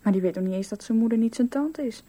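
An actress voicing a dreamy, playful little girl telling her fantasies in a radio play, with a breathy voice. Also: on the high side, fast talker, warm tone. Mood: playful, chattering. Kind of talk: radio drama, storytelling and chanting rhyme.

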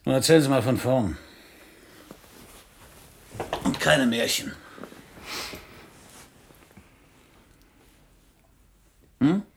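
An actor playing a hard-boiled, middle-aged private detective in a radio crime play, dry, laconic and slangy. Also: male voice, medium pitch, measured pace, vocal fry. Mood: matter-of-fact. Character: hard-boiled, sardonic male Parisian private detective narrator. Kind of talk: noir radio play narration and dialogue. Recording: radio play, some background noise.

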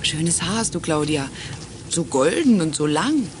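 A woman's voice: deep voice, sing-song, unhurried. An actress playing an easygoing, friendly young woman driver in a children's radio play, chatting casually. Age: young adult. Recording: noisy audio with vehicle sounds.

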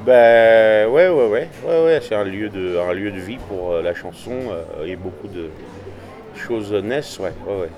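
Guest, man in his forties, slightly low voice, halting answers, lots of hesitation and repeated yes. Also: a crowd in the background. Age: 40s. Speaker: music venue director, singer. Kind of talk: interview guest.

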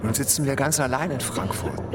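A man playing a caring, worried father in a radio drama, speaking earnestly and gently to his child. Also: mid-range voice, fairly quick, lightly acted. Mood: tender, heavy-hearted. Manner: tender, earnest, instructing. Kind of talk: German radio drama acting. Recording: loud train-ride noise behind.

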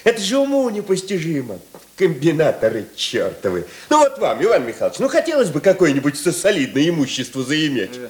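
A simple-hearted male police subordinate in a radio play, his voice fairly high and very lively in its swings. He sounds earnest, folksy and full of wonder, in a roomy echo. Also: brisk. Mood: jovial, puzzled. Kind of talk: naturalistic Russian radio drama acting.

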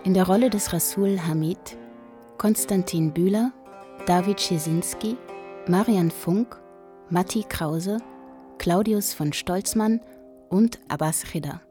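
Woman announcer, rather low pitch, very unhurried, formal credits reading, light music. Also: dark tone. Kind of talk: formal scripted radio announcement.

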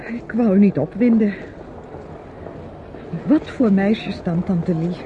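An actress playing a meek, dutiful niece in a radio play, speaking in timid, halting, polite phrases. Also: female voice, medium pitch, slight background noise, lightly acted. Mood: meek, evasive. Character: meek, hesitant, dutiful unmarried niece, quietly evasive. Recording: thin, phone-like old radio recording.

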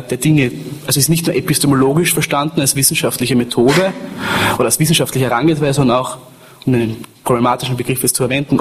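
A man giving an academic lecture in dense, self-correcting phrasing. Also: medium pitch.

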